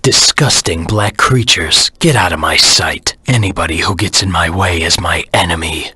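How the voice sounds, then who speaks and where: Brooding video game antihero, very husky growl, hard-edged and forceful, terse, grim, menacing, vengeful. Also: male voice, low voice, moderate pace, no echo, studio quality, exaggerated voice. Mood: menacing, defiant. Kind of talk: video game voice acting, dramatic monologue.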